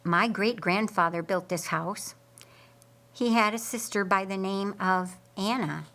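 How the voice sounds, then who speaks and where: Woman reading a solemn, formal storybook man, slow. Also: mid-range voice, fairly bright tone. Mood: solemn, confiding. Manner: formal, deliberate. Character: solemn, formal older friend, male householder.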